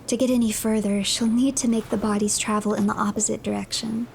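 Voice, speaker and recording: A woman tells a game's story in a measured, breathy storyteller's voice. Her pitch stays very even, with a gravelly fry at the edges. Clear ambient noise sits behind her. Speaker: streamer narrating a game. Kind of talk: dramatic story narration, often breathy and hushed.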